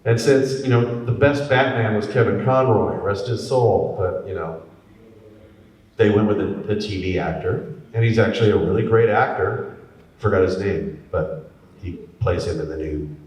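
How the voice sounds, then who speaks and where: A middle-aged man, a voice actor and former radio DJ, telling stories in a chatty, animated way at a convention panel. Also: fairly low pitch, normal speed. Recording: very echoey hall from far off.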